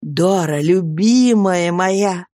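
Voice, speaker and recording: A woman voicing an earnest young husband who reasons gently and patiently, with grave affection, in an audiobook story. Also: on the low side, drawn-out words, dry recording, studio quality. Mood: grave, reproving. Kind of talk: dramatised audiobook reading.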